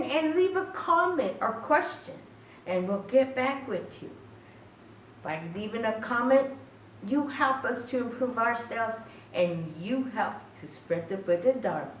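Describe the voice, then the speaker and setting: A woman giving an informal Buddhist talk as a minister, speaking haltingly and pausing often mid-phrase. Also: on the high side, animated. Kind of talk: informal Dharma talk with short chanting. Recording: echoey, distant mic, faint background noise.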